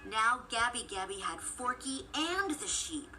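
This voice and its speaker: A woman reading a scripted children's storybook as narrator, expressive and clearly phrased. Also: a little high, unhurried, faint music behind.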